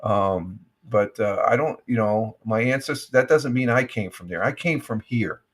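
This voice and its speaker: A man's voice: on the low side, normal speed, husky. An older American man hosting a casual livestream chat, rambling with many fillers and restarts. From United States. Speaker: livestream host.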